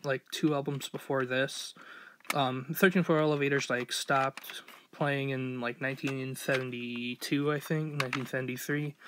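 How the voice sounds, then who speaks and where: A young man with a slightly higher-pitched voice talks off the cuff, showing things to the camera. His delivery is halting and rambling, full of hesitations. The handheld home recording has clear background noise. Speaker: vlogger showing a collection. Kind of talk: casual, unscripted show-and-tell. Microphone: handheld phone or camera mic. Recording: noisy, fuzzy handheld amateur recording.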